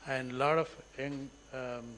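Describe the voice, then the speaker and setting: A man giving a formal welcome address at a symposium, pausing often with fillers. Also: mid-range voice, fairly even intonation, fairly bright tone, slight background noise. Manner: hesitant, many fillers. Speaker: senior scientist welcoming attendees. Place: symposium hall.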